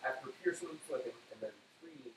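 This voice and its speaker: A man's voice: mid-range voice, brisk. Echoey, off-mic male co-host chatting. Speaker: co-host helping with cooking stream. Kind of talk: casual chat. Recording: echoey room, distant mic, faint hiss.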